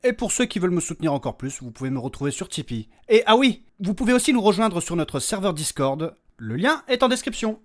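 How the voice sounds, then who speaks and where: A man's voice: high-pitched, fairly quick, few pauses, no room sound, clean studio sound. A man who makes the podcast, reading its intro and asking listeners for support in an upbeat way. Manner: upbeat, lively promo delivery. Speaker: podcast creator.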